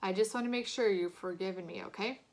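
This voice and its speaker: A woman's voice: mid-range voice, fast talker, a little mellow, lightly acted. Woman reading as an offhand fifth-grade boy. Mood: earnest. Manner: offhand, slangy. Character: casual, teasing fifth-grade boy, loyal friend. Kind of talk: children's audiobook-style read-aloud.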